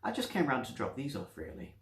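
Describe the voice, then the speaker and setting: A man voicing a meek, awkward male doctor who speaks softly and hesitantly with stammers, in a story reading. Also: mid-range voice, huge pitch swings, brisk, reverberant. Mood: awkward, polite. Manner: hesitant, stammering, soft-spoken. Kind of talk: story reading with character voices.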